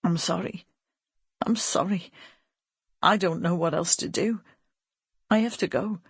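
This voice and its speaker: A woman's voice: lowish voice, unhurried, breathy edge, dry recording, clean studio sound, exaggerated voice. A woman narrator playing a tender, desperate man choked with tears in an audiobook drama. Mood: tearful, apologetic. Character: grieving man abandoning his child, tender and desperate.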